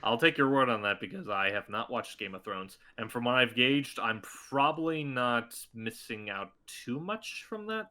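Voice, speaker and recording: A man's voice: mid-range voice, animated, normal speed, hardly any pauses, pushed and bright. A man talking as a guest voice actor in a casual podcast interview, chatty and rambling with many restarts.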